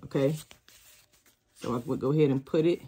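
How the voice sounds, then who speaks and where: Raspy-voiced woman chatting through a craft tutorial. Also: rumbling low, moderate pace, dark tone, a little hiss or hum. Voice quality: slightly raspy. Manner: chatty, talks to viewers as y'all. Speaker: crafter teaching shoe decorating. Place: craft studio with sewing and embroidery machines. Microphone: camera over worktable.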